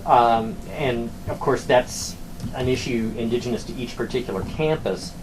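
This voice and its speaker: A man talking through administrative matters on a conference call, with hesitant, measured phrasing, like a university academic. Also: medium pitch. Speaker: university academic, former program director. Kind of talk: work discussion on a conference call. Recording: conference call with clear background noise.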